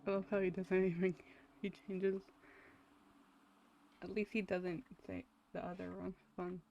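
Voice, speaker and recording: A woman's voice: lowish voice, little pitch movement, a little slow, creaky, mellow, muffled recording. A woman streamer giving casual, offhand commentary while she plays a game. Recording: noisy room ambience.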